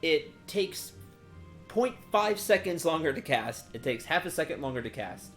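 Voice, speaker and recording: A man's voice: on the high side, animated, moderate pace, a bit bright. Casual male game streamer over faint music. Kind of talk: casual live game commentary.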